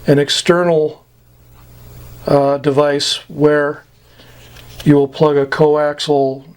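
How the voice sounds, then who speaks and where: An American man giving an informal, plain-spoken explainer of technical terms, pausing often between short phrases. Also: on the high side. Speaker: instructor explaining CB radio terms. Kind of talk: informal explainer, glossary-style lesson.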